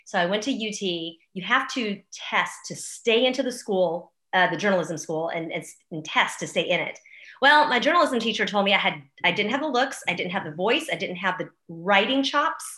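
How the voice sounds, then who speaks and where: Middle-aged female interview guest, bright voice, animated casual storyteller, echoey room recording. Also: mid-range voice, moderate pace. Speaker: podcast guest, real estate agent and former news anchor. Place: room in a new house.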